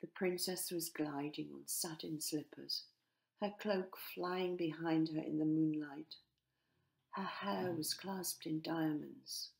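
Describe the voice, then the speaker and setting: A woman narrating a children's storybook expressively, in measured phrases that sometimes drop to hushed tones. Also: low voice, fairly even intonation, vocal fry. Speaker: author narrating her own story.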